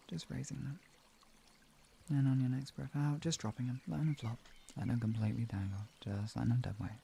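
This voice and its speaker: A man streaming guided relaxation and talking to viewers in a soothing, gentle, instructional manner. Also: mid-range voice, vocal fry, husky.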